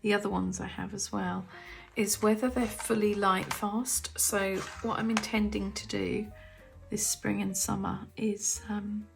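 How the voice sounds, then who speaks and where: Croaky, soft-spoken woman, half whispering. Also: mid-range voice, level tone, unhurried, over music. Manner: soft, often half-whispered, musing aloud. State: croaky throat from cold. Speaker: artist demonstrating paints. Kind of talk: casual craft tutorial chat. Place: home art studio.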